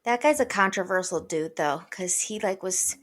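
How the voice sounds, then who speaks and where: A woman co-hosting a podcast in casual chat, talkative and quick to laugh. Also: lowish voice. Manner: chatty, laughs easily. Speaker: podcast co-host.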